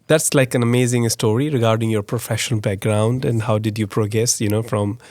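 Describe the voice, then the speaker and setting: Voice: mid-range voice, steady tone, a little fast, darkish tone, dry recording. A podcast host interviewing a guest, encouraging her with frequent "yeah"s and restarting his questions. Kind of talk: interviewer chat.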